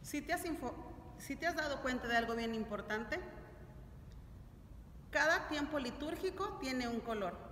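A woman's voice: sits high, expressive, a little slow, long pauses, fairly bright tone. A middle-aged woman teaching a religious lesson to families in a didactic, questioning way, recorded in a church. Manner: didactic, asks check-in questions. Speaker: catechism teacher. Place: large stone-walled church, indoors. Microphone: camera a few steps away. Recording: echoey church hall, distant mic, noisy.

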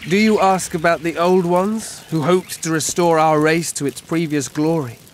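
An actor voicing an old elf forest scout in a video game, grave and weary, speaking in measured, formal phrases. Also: male voice, on the high side, lightly acted. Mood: bitter, resigned. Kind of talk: video game dialogue acting. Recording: clear ambient noise behind.

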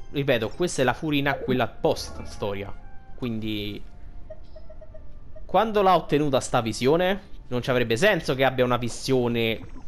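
A man giving casual, unscripted gameplay commentary, rambling and thinking aloud in slang. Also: mid-range voice. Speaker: gaming video host.

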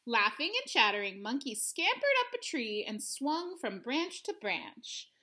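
A woman's voice: on the high side, very animated, a little slow, sharp tone. A woman in her thirties reading a picture book aloud expressively for children, at home. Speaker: storybook reader. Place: living room at home.

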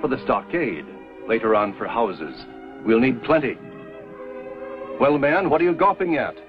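An actor playing a devout pioneer who speaks in an earnest, faithful way, on a dull old TV soundtrack with music. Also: male voice, mid-range voice, lively intonation, a little slow, lightly acted. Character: devout middle-aged male pioneer believer. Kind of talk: 1950s TV western acting.

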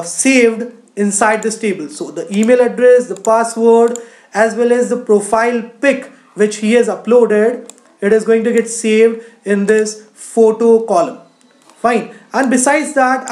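A woman's voice: a little high, a little mellow. A woman with an Indian accent narrating a screencast tutorial as a coding instructor, explaining step by step with frequent verbal fillers. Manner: explanatory, frequent "you know". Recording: roomy, echoing voice-over.

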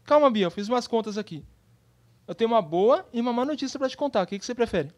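A woman's voice: a little high, animated, fast talker, a little mellow, lightly acted. A woman with a Brazilian accent voicing a carefree, teasing boy best friend in a children's comedy cartoon, breezy and colloquial. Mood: explaining.

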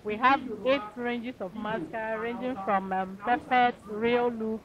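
A woman's voice: a little high, fairly even intonation, unhurried. A woman with a Nigerian accent presenting products as a brand ambassador in a stall interview. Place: outdoor market stall under tent. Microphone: handheld interview microphone. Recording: muffled, busy outdoor crowd noise.

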